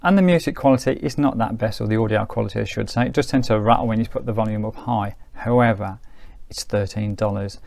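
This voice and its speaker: A man's voice: fairly low pitch, fast talker, vocal fry, hardly any pauses, darkish tone, no echo. A man giving a casual hands-on gadget review, thinking aloud in an informal, hedging way. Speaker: product reviewer.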